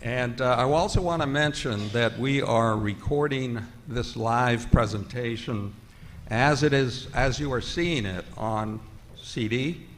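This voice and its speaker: Slow-talking man in an echoey hall. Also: mid-range voice, a bit bright. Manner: measured, halting, formal. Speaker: theater producer and host. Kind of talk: live welcome speech to an audience. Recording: echoey hall, noticeable noise.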